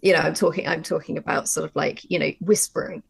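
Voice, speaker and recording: A woman's voice: low-pitched, steady tone, quick pace, creaky, crowd noise. A woman teaching a workshop in a conversational, informal way, speaking emphatically and insistently. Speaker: audio drama writer and workshop presenter.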